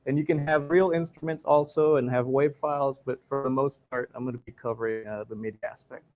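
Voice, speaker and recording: A man from Guatemala presents a software talk on stage. He pauses often with "uh" between phrases. His voice sits at an ordinary pitch for a man. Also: steady tone, faint music behind. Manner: hesitant, many "uh" fillers. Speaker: conference speaker, software engineer. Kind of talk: technical talk. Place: conference stage.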